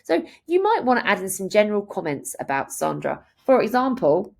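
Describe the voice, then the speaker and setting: A woman in her thirties giving an explanatory, step-by-step software walkthrough as a tutorial presenter. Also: medium pitch, sing-song, fairly quick, vocal fry. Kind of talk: instructional screen-share walkthrough.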